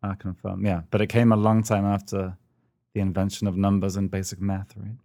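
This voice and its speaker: Hesitant man, low dry voice. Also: flat delivery, fairly quick, warm tone, no echo. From United Kingdom. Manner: hesitant, many ums and restarts, dry self-mocking humor. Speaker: podcast co-host. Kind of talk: casual podcast chat. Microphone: remote call.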